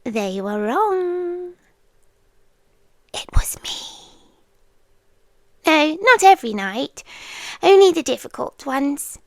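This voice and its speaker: A British woman playing an ancient, tender and possessive female monster in an intimate ASMR roleplay, hushed and often whispering with lingering pauses. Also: high voice, big pitch swings, vocal fry, no room sound, studio quality, heavily acted. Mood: tender, confiding.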